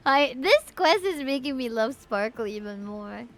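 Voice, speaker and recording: A woman's voice: high voice, moderate pace, faint music behind. A woman livestreaming a video game, reading dialogue aloud and reacting casually and expressively. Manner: expressive, reacts with exclamations and laughter.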